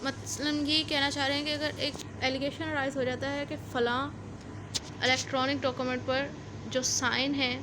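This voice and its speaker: A woman with a high voice and a Pakistani accent teaches in an explanatory lecture style. She repeats and rephrases her points informally for learners. Clear background noise sits behind her voice. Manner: reads formal text slowly, then explains informally with repetition. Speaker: law lecturer.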